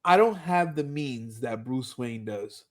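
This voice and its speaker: A man's voice: medium pitch, animated, unhurried. A man co-hosting a fan podcast, casually recapping and commenting, often repeating words as he searches for phrasing. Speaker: co-host of a fan rewatch podcast.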